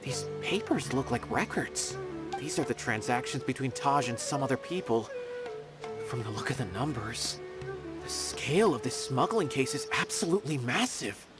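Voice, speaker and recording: A man's voice: mid-range voice, lively intonation, moderate pace, close to an everyday voice. A man voicing an earnest, anxious, loyal junior investigator in a video game, speaking expressively. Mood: grave, troubled. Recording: background music.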